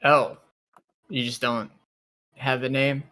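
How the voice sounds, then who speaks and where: A man's voice: medium pitch, level tone, slow pace, sharp tone. A man playing a tabletop roleplaying game, chatting casually and improvising in character with a laid-back, questioning manner.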